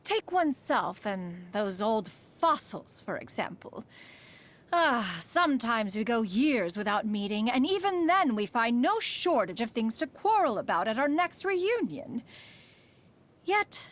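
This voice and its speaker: A woman's voice: fairly high pitch, sing-song, a little slow. A woman playing a proud, aloof immortal adept who secretly cares, speaking in formal, archaic phrases as a video game character. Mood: proud, reflective. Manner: haughty, archaic formal speech, refers to self as "one".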